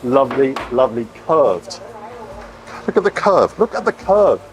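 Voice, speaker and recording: A man's voice: mid-range voice, sing-song, unhurried, a little hiss or hum. A middle-aged man giving a chatty, admiring walkthrough of his catamaran being built, exclaiming over the details. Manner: enthusiastic, admiring, chatty with frequent oohs. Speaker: boat owner touring his catamaran build. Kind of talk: casual walkthrough vlog. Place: inside a catamaran under construction at a boatyard.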